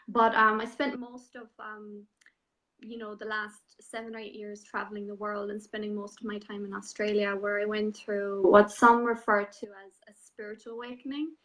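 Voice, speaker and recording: A woman in her thirties with an Irish accent, telling her story as an interview guest in a soft, unhurried way with many ums. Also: mid-range voice, flat delivery, low-quality recording. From Ireland. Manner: soft-spoken, unhurried, frequent ums and you-knows. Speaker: guest, transformational coach. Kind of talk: reflective personal story in an online interview.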